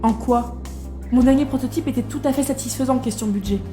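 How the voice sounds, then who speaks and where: A young female engineer, played by an actress in an audio drama, with a very high voice over faint music. She is blunt and irreverent, talking in casual slang with a sardonic, defiant edge. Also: quick pace, warm tone, natural voice.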